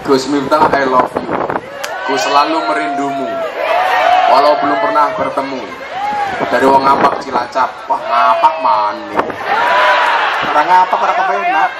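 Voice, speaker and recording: A man's voice: medium pitch, normal speed, pauses often. A man with a Javanese accent preaching a humorous sermon to a live crowd, teasing them and mixing in Javanese slang. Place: large event hall with live audience. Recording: echoey hall, loud crowd noise.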